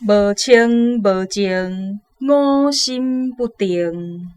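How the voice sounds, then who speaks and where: A serene temple sister in a Taiwanese Hokkien radio play. She intones her words extremely slowly and solemnly, in a clear, smooth voice. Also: mid-range voice, darkish tone. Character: serene Buddhist temple sister chanting cryptic verse.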